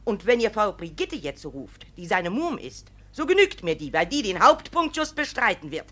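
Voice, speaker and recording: A woman's voice: mid-range voice, very animated, normal speed, fairly bright tone. An actress playing a stern, indignant mother bringing a complaint in a classic comedy radio play, emphatic and scolding. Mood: insistent accusing.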